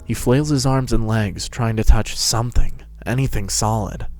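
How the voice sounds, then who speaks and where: A man's voice: lowish voice, normal speed, a little mellow, faint music behind. A man narrating a fan-fiction story as a scripted dramatic reading.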